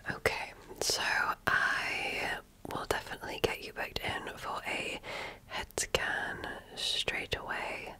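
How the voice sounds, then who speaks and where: A woman whispering softly and breathily in an ASMR medical exam roleplay, speaking as a gentle, attentive and reassuring clinician. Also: slow. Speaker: ASMR creator playing an alien medical examiner.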